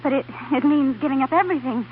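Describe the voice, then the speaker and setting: A woman playing a tender, anxious, excitable young wife in a 1930s radio drama, breathless and emotional. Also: high-pitched, fast, lightly acted. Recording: tinny, noisy 1930s radio recording.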